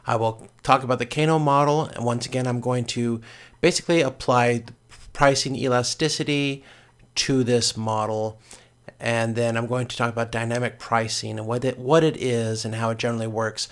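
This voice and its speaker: Creaky-voiced man teaching a recorded lesson. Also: mid-range voice, steady tone. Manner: explanatory, halting with self-corrections. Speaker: course instructor. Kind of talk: recorded lecture explanation.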